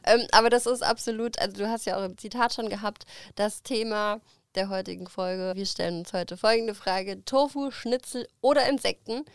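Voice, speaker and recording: A woman's voice: medium pitch, normal speed, hardly any pauses, clear, resonant tone, no echo, studio quality. A woman co-hosting a podcast, cheerful and leading the chat, laughing often. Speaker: podcast co-host. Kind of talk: casual chat.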